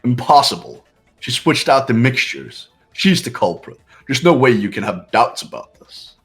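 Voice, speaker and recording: A man's voice: medium pitch, lively intonation, moderate pace, gravelly, fairly bright tone, faint music behind. A man voicing a cold, arrogant young heir who dismisses others with condescension. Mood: condescending. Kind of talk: fan voice acting of a game.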